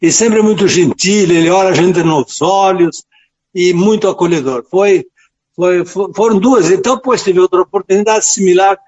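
An elderly man with a southern Brazilian accent speaks as a priest being interviewed. His voice is notably high for a man, and he reflects devoutly, often repeating words as he goes. The audio is slightly dull and lo-fi. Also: moderate pace, darkish tone. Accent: southern Brazilian, Rio Grande do Sul. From rural southern Brazil. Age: late 70s. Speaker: priest and friar, interview guest. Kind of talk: reflective personal storytelling in a radio interview.

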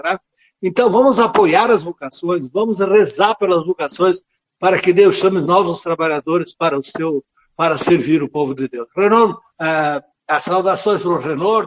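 A man in his late seventies with a southern Brazilian accent from Rio Grande do Sul, a priest telling his story in a halting, earnest, devout way. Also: sits high, dull audio. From rural southern Brazil. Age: late 70s. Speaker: priest and friar, interview guest. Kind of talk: reflective personal storytelling in a radio interview.

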